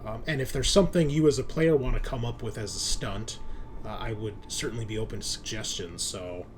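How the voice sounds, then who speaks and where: A man's voice: medium pitch, a little fast, noisy background. A man acting as game master, narrating a tabletop roleplaying game in a casual, descriptive way and explaining rules.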